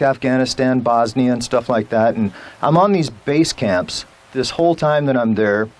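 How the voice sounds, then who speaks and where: A man giving an emphatic rally speech as a legislator, with rhetorical questions and rousing appeals to the crowd. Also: medium pitch.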